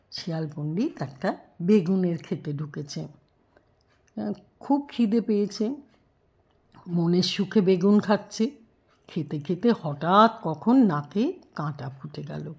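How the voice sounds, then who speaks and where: A woman telling a children's story expressively, with drawn-out emphasis and rhetorical questions. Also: fairly low pitch, lively intonation, vocal fry, a little mellow, breathy edge, lo-fi sound.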